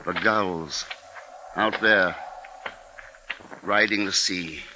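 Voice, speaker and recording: An actor playing an anxious writer who narrates with measured, dramatic deliberation in a 1950s radio drama. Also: male voice, sits low, fairly even intonation. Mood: grave. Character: anxious writer narrating a seaside bird menace. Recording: old 1950s radio recording with music.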